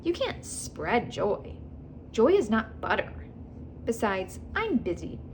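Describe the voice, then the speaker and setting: A woman's voice: a little high, big pitch swings, slow pace, a little mellow, a little hiss or hum. A woman voicing a busy, dismissive, pedantic male stargazer in a storybook.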